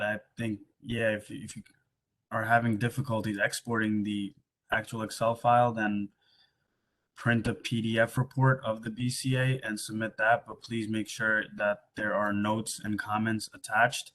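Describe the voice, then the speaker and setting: A man on a webinar video call giving technical advice in a halting way with fillers. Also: fairly low pitch, a bit bright.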